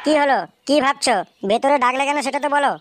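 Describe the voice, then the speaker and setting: A woman's voice: high-pitched, fairly even intonation, fast, slight background noise, lightly acted. A woman voicing an indignant young daughter-in-law in a comedy cartoon, scolding and questioning in exasperation. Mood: exasperated.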